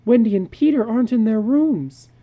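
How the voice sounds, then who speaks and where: A man voicing an anxious, soft and worried wife and mother in a dramatic story reading. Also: extremely high pitch, expressive, moderate pace, narrow, tinny audio, voice pushed up. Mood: fearful.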